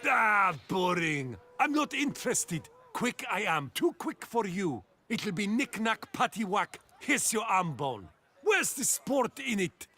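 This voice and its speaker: An actor playing a cocky, playful duelist in a fantasy video game, taunting in a theatrical sing-song way. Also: male voice, high-pitched, big pitch swings, slow pace, bright, forceful tone, full character voice. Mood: bored, boastful. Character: cocky, playful male duelist boasting of his speed. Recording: game music behind.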